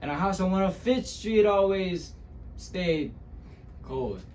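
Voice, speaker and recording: Wounded young Mexican-American man, acted by a male performer, stage monologue; slow, halting, higher-pitched, dramatic. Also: animated, darkish tone, slight background noise. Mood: wistful, earnest. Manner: dramatic, halting delivery with long pauses. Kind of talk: dramatic interpretation monologue, speech-competition acting.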